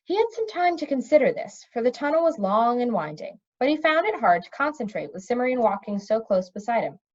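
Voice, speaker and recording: A woman reading a children's fantasy novel aloud as an expressive storybook narrator. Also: a little high, a little fast. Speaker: storybook reader. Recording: dull, lo-fi recording.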